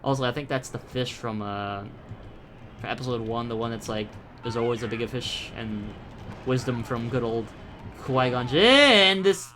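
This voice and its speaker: Bright-voiced rambling young gamer guy. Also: medium pitch, animated, normal speed, soft background music. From Philippines. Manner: rambling, profane, self-mocking tangents. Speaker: gaming YouTuber. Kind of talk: casual gameplay commentary.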